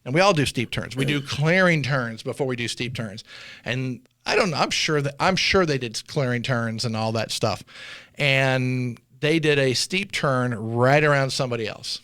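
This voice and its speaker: A man's voice: mid-range voice, moderate pace, a bit bright, no echo, studio quality. A man speaking informally as a former air traffic controller, telling and explaining things in an anecdotal way, often voicing bits of dialogue.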